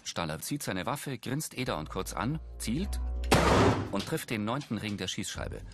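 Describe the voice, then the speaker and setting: Low-voiced man narrating, lively intonation. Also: unhurried, over loud music. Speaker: audio-description narrator. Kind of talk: scripted narration of a TV film.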